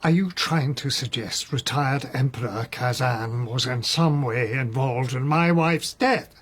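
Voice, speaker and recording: An actor playing a proud, indignant lord in a radio drama, speaking with haughty formality. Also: male voice, mid-range voice, a little slow, a bit bright. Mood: stiff, controlled. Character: proud, indignant male lord suspected of murder. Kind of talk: BBC radio drama acting.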